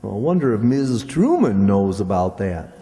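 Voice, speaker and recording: An actor playing a naive, dryly wisecracking rustic sidekick in old-time radio comedy, with a folksy, hesitant drawl. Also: male voice, lowish voice, big pitch swings, moderate pace, warm tone. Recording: echoey hall with long reverb.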